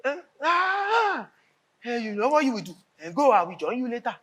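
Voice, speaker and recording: A man's voice: very high voice, big pitch swings, moderate pace, bright, forceful tone, breathy edge, heavily acted. A man playing a blustering, flustered Nigerian senator on stage, stammering and repeating himself as he fumbles big words. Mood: flustered, confused. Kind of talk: stage play acting.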